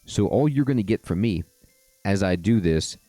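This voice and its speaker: A man hosting a casual let's-play, telling stories in a rambling, drawn-out way with wry asides. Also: lowish voice, lively intonation, mellow, dry recording, soft background music. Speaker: gaming host.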